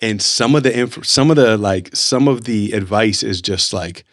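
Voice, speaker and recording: A man's voice: on the low side, moderate pace. A man hosting a podcast in casual, effusive, informal conversation, tending to ramble as he tells stories. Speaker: podcast host. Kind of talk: casual interview chat.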